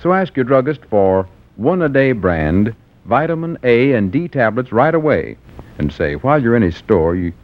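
A man reading a 1940s radio commercial as announcer, measured, emphatic and persuasive. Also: mid-range voice, very animated, no room sound. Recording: thin, narrow-band vintage radio recording.

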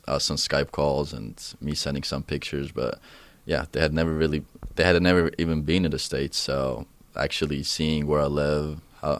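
A man's voice: a bass voice, no room sound. A young man from Estonia, a soccer player answering interview questions in English, hesitant, with many fillers and restarts. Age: young adult.

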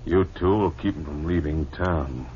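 Deep-voiced, crooked saloon boss. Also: male voice, moderate pace. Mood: scheming. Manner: sneering, domineering, curt. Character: crooked, callous male saloon owner, scheming villain. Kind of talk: 1950s western radio acting. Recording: dull, noisy old radio recording.

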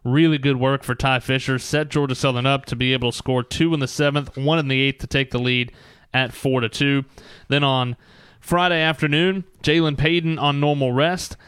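Sports host guy, clean smooth voice, announcer style recaps in short phrases, dry sound. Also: medium pitch, fairly even intonation, moderate pace, a bit bright, dry recording. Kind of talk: sports talk and game recap.

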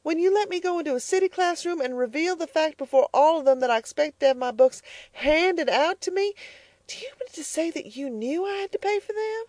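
A woman reading the part of a proud, determined young farm girl, speaking high and earnest. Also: expressive, moderate pace, warm tone, no echo, close to an everyday voice. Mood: hurt, defiant. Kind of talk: audiobook character voice.

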